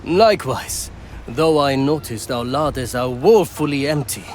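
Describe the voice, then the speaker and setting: An actor playing a courteous, proud assassin who cooks aboard a ship in a video game, formal and measured. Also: male voice, medium pitch, expressive, darkish tone, slight background noise, lightly acted. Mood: intrigued, proud. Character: courteous, proud Japanese assassin and ship's cook.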